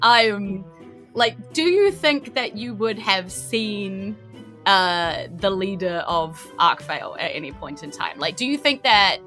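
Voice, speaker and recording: A woman's voice: mid-range voice, lively intonation, slow pace, pushed and bright, faint music behind. A woman with a New Zealand accent narrating a tabletop game as game master, chatty and informal.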